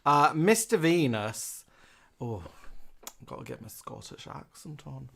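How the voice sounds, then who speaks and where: A man's voice: medium pitch, sing-song, a little slow, vocal fry, fairly bright tone. A man from the UK co-hosting a casual live stream, chatting and reading out viewers' comments with a laugh.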